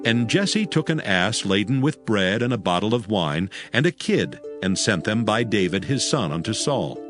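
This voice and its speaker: A male narrator reads scripture dramatically in a fairly low voice. His intonation is lively and varied, with music behind him. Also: moderate pace.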